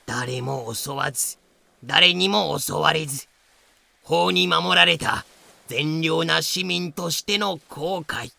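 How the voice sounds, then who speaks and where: Roguish, laid-back pirate captain played by a man, audio drama; heavy gravel, hard bright edge. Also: fairly high pitch, slow, full character voice. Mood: wry, relaxed. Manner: drawling, casual, rough-spoken. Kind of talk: amateur audio drama acting.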